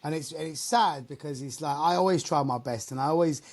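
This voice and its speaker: A young adult man, loud and animated, telling a work story in casual podcast chat. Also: a little high, fairly quick, over a noisy crowd. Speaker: podcast host.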